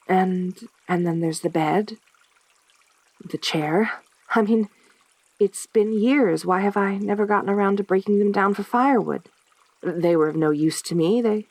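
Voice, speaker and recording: A woman playing a lonely, possessive centaur girl in a soft-spoken audio roleplay, with a halting, stammering delivery. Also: fairly low pitch, no echo. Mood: earnest, yearning.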